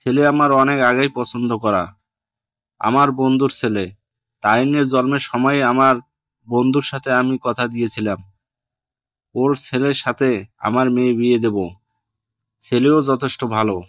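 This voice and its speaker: A man playing a strict middle-aged father in an audio story, speaking in a firm, measured, unyielding way. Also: medium pitch, steady tone, fairly bright tone, muffled recording, lightly acted. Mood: resolute. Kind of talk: Bengali audio story acting.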